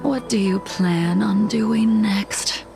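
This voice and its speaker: A woman playing a calm, weary swordswoman haunted by vengeance in a video game, speaking softly and solemnly with measured delivery. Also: medium pitch, gravelly. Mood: solemn, resigned. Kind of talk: dramatic video game voice acting. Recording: background music over game dialogue.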